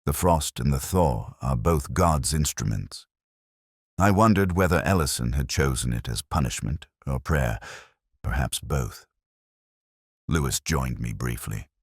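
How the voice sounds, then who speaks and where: A man reading a scripted first-person mystery story in a reflective, solemn, deliberate, melancholy manner. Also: rumbling low, lively intonation, husky, no room sound, clean studio sound. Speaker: narrator of a detective mystery story.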